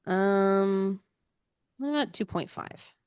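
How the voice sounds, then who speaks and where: A woman's voice: mid-range voice, lively intonation, takes it slowly, a little mellow, clear, resonant tone. A woman co-hosting a book podcast in casual chat, thinking aloud in an informal way.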